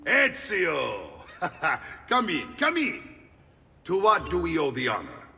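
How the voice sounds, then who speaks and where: A hearty older Italian lord in a video game, blustering and animated. His Italian-accented voice rings with long reverb over music. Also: a little high, takes it slowly, creaky, exaggerated voice. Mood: jovial, welcoming. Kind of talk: dramatic video game acting.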